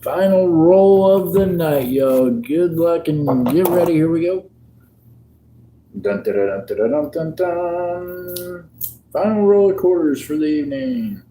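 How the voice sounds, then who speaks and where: Slow-talking laid-back man. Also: on the high side, animated, dark tone, a little hiss or hum, rough recording. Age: 50s. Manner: laid-back, joking, chats with viewers. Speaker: livestream host. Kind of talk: casual livestream chat while sorting coins.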